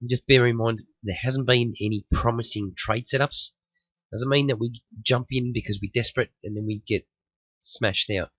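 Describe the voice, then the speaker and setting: A man's voice: fairly low pitch, fairly bright tone, dry recording, highs cut off. A man hosting a day trading room, recovering from flu and a bit sniffly, talking through the market with many restarts and warnings. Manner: repetitive, restarts phrases, cautionary. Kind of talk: market analysis commentary.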